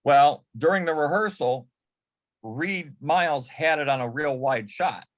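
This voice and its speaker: A man's voice: a little high, a little slow. A man telling an anecdote casually as a panel guest, correcting himself as he goes. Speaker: panel guest, former celebrity assistant.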